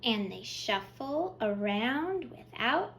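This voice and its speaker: Children's storytime reader: woman, high and lively pitch, expressive delivery, addressing little ones, slight noise behind. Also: slow pace, vocal fry. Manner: expressive, addressing young children with simple questions and praise. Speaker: children's librarian, storytime host. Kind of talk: children's storytime read-aloud.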